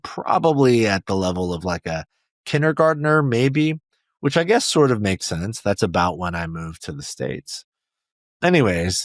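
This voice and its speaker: A man's voice: deep voice, no echo, clean studio sound. A man in his forties, from South Korea, hosting a podcast and opening it with an earnest, reflective monologue. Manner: earnest and reflective, with small restarts. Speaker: podcast host.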